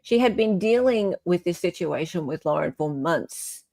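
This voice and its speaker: A woman's voice: on the low side, animated, normal speed, vocal fry, warm tone, dry recording. An Australian woman hosting a live stream in casual, opinionated chat, often checking for agreement as she goes.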